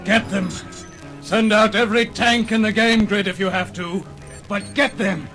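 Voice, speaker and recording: Man acting a mean sci-fi warrior boss, barking orders, raised pitch, bit of music under it. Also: steady tone, unhurried, a bit bright. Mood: furious. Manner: barking, imperious. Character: fierce, domineering male electronic warrior commander.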